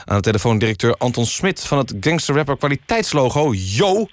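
Man as a deadpan satirical radio host. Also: mid-range voice, brisk, no room sound, studio quality, natural voice. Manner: deadpan, polite, stumbling in questions. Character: straight-faced male radio magazine host. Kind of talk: mock news reading and interviews.

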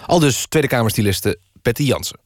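An actor playing a serious, straight-faced radio presenter, reading mock news and interviewing formally. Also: male voice, medium pitch, animated, brisk, no room sound, soft background music, natural voice.

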